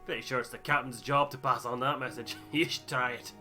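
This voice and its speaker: A man's voice: mid-range voice, fairly even intonation, a little fast, bright, forceful tone, soft background music. Man playing a blunt, bantering sailor. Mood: amused teasing. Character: joking, teasing sailor crewmate. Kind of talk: voiced game dialogue.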